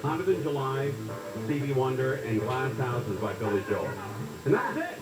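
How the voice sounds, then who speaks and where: A man's voice: medium pitch, very animated, moderate pace, echoey room, over loud music, rough recording. A man in his forties hosting a casual talk radio show, rambling and folksy, often tagging his sentences with "right?". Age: 40s.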